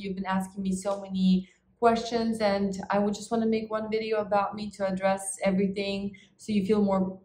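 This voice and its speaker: A woman's voice: mid-range voice, darkish tone. Woman in an echoey room. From Ukraine. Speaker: relationship coach. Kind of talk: talk to camera introducing herself to clients. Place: medium-sized living room indoors. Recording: echoey reverberant living room.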